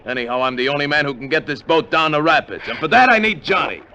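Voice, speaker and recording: A man's voice: fairly high pitch, expressive, quick pace, bright, forceful tone. An American man playing a tough, terse, commanding and bitter armed adventurer in 1950s radio drama style. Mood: threatening. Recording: noisy vintage 1950 radio recording.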